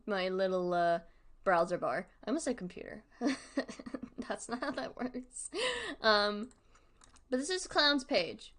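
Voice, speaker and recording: A woman's voice: medium pitch, fairly bright tone. A woman hosting a livestream, talking casually to viewers in a chatty, emphatic way and repeating points for emphasis. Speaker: streamer hosting a discussion.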